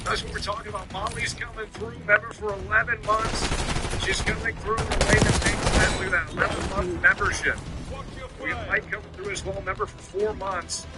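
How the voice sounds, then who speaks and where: A man's voice: high-pitched, steady tone, fairly quick. A man hosting a livestream, ranting over gameplay in a scolding, repetitive, sweary way. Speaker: livestream host. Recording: loud game gunfire and clicks behind. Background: video game gunfire.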